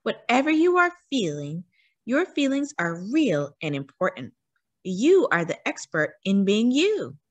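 Expressive, husky-voiced woman reading to children. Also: mid-range voice, a little slow, no room sound. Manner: expressive, addresses young listeners with questions. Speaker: read-aloud storyteller for children. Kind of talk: children's picture book read-aloud.

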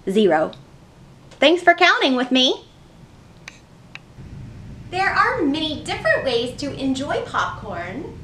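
A woman's voice: high-pitched, noisy background. A young woman hosting a children's storytime, explaining things simply in a sing-song way to small children.

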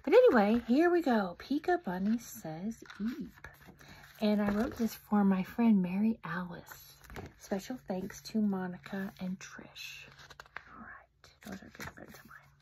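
Unhurried, whispery middle-aged storyteller woman. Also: medium pitch, slight background noise, low-quality recording. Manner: soft, often whispered, expressive asides to children. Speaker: children's book author reading aloud. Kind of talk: interactive children's story reading. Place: home room, indoors. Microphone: phone camera close up.

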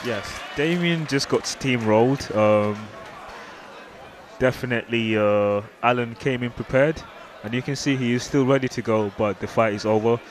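A man's voice: medium pitch, normal speed, a faint crowd. A man giving casual, hesitant live commentary on a fight. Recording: noisy event hall ambience.

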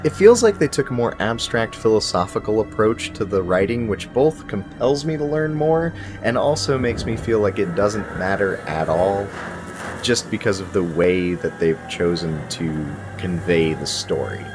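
A man's voice: on the low side, moderate pace. A man in his thirties giving a scripted video game review in a wry, casual, emphatic way. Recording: music behind the voice. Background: music.

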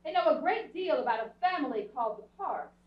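Woman acting a snooty scheming rich sister, high voice, bossy, old radio play, distant dull stage sound. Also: expressive, quick pace. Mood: sly, coaxing. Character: haughty, scheming young society sister. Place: indoor theatre stage, distant camera. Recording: distant, echoey, dull theatre stage recording.

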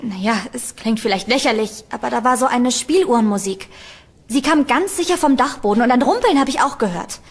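A woman's voice: a little high, animated, brisk, breathy edge. A woman playing a frightened, earnest young female student in an audio horror drama, speaking in an anxious, pleading way. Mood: unsure.

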